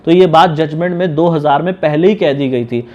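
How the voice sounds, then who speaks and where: A man speaks in a slightly high voice. He explains legal matters informally, like an advocate addressing viewers. His manner is didactic, and he often rephrases his key points. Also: normal speed, a little mellow, highs cut off.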